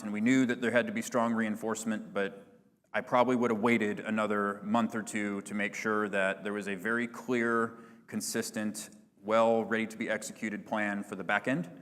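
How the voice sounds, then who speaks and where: A man presenting at a business conference, answering audience questions in a relaxed, filler-heavy corporate manner. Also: fairly low pitch, clear, resonant tone, big-room sound. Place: large conference hall.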